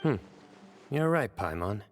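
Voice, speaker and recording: An actor playing a composed, analytical young investigator in a video game, speaking in a measured, serious and authoritative way. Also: male voice, on the low side, very animated. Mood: commanding, serious. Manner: measured, serious, matter-of-fact.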